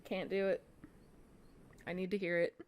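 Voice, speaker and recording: A woman's voice: lowish voice, level tone, moderate pace, darkish tone, clear, resonant tone. A woman game streamer giving casual commentary during a playthrough.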